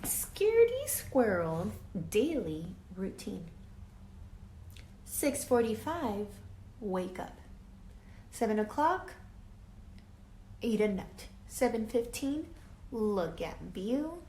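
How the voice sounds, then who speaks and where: A woman reading a children's picture book aloud like a teacher, deliberate and emphatic, drawing out words and adding explanatory asides. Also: a little high, sing-song, warm tone. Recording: echoey room, faint background noise.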